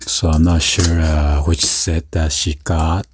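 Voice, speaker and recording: A man casually narrating an unboxing in a halting, hesitant way, as the host of the video. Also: very deep voice, flat delivery. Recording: noisy, with crinkling packaging and clicks. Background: packaging crinkling and clicking.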